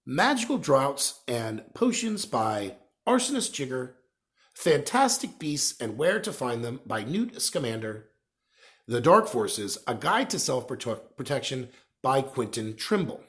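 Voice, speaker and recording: A man's voice: mid-range voice, very animated, slow, a bit bright. A man reading a children's novel aloud as a narrator. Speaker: story reader.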